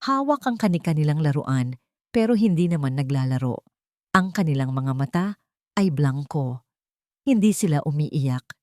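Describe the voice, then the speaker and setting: Female narrator for audio drama, lowish mellow voice, dry close studio sound, dramatic scripted read. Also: animated, normal speed, pauses often, no room sound.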